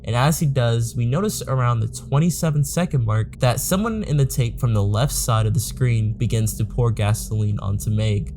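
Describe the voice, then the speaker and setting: A man giving a measured, matter-of-fact scripted explainer narration as host of a video series. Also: mid-range voice, mellow, a little hiss or hum.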